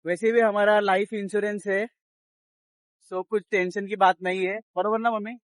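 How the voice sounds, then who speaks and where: A man's voice: sits high, level tone, moderate pace, dry recording, clean studio sound. A young man chatting casually and teasingly to his vlog audience, switching languages. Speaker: vlogger. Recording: clearly noisy outdoor handheld recording.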